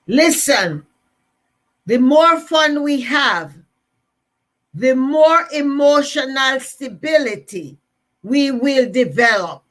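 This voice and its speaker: A woman's voice: high voice, expressive, slow pace, long pauses, fairly bright tone. A woman with a Jamaican accent giving an emphatic, repetitive motivational talk to listeners. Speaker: motivational speaker.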